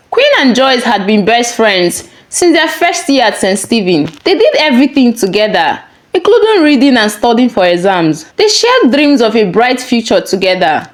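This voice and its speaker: A woman narrating a scripted story as a storyteller. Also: a little high. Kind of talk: scripted narration of a folktale-style moral story.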